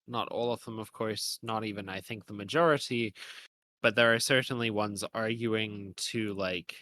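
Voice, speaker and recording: A man's voice: on the low side, fairly even intonation, moderate pace, pushed and bright, a little hiss or hum. A man co-hosting a podcast, talking through ideas casually and analytically in long, winding, hedged sentences. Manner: hedging, thinking aloud in long winding sentences. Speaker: podcast co-host.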